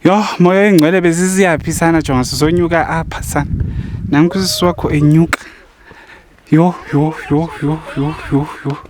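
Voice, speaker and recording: A man's voice: a little high, steady tone, moderate pace, a crowd in the background. A man with a South African accent talks casually as a vlogger, often repeating phrases, recorded outdoors on a camera microphone. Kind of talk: casual chatty vlog talk. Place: outdoors on a mountain walk. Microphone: camera microphone, no separate mic.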